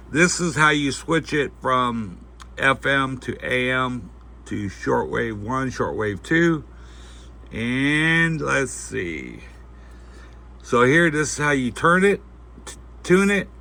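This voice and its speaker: A man giving a casual, unscripted product demonstration, talking in a halting, folksy way as he thinks aloud. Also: medium pitch, gravelly, sharp tone. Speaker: host of a gear review channel. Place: indoors at home at night. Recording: faint background noise.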